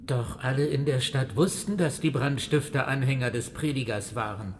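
Man playing a fawning, aggrieved bailiff over rumbling noise. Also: normal speed, natural voice. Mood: indignant. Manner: fawning, defensive, agitated complaining. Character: obsequious, aggrieved male bailiff and tax collector. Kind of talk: historical radio drama acting.

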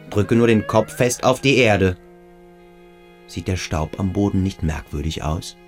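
Brooding adolescent tormentor, radio drama: low-pitched, probing, insistent, over faint music. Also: male voice. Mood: commanding, menacing. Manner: intense, introspective, insistent. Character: brooding, domineering adolescent boarding-school boy, probing and tormenting. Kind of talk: German radio drama acting.

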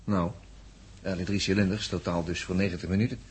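An actor playing a brisk, impatient space crewman giving curt orders in a 1960s radio drama. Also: low-pitched, flat delivery, lightly acted. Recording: old radio recording, faint noise.

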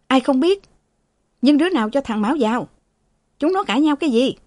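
A woman's voice: fairly high pitch, expressive, moderate pace, mellow, dry recording, voice pushed up, natural voice. A woman reading aloud, voicing a bedridden old father who cries out in strained, wailing tones. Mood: angry. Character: sick old man, bedridden father, bitter and shrill.

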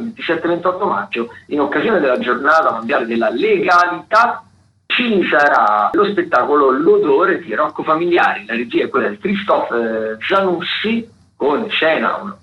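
A man hosting a radio show, reading out cultural listings in a halting, digressive, self-correcting way. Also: medium pitch. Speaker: radio host. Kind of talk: unscripted radio listings of theatre and dance events. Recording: roomy, dull lo-fi radio sound, faint noise.

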